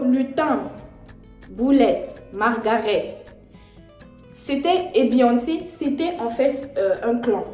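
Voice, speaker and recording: Brief: slangy, animated young woman storyteller, slightly high voice, echoey room, faint music. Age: young adult. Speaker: vlogger. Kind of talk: storytime vlog.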